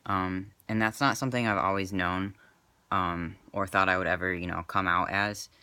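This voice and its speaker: Man, 20s, casual vlog; low, flat, crisp delivery, hesitant with lots of fillers. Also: normal speed, no echo. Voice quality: slightly crackly. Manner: soft-spoken, hesitant, many fillers. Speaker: vlogger.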